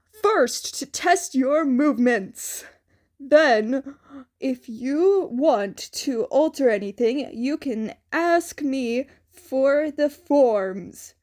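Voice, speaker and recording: A woman voicing a hearty, encouraging male superhero teacher who speaks in a loud, declamatory way. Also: high voice.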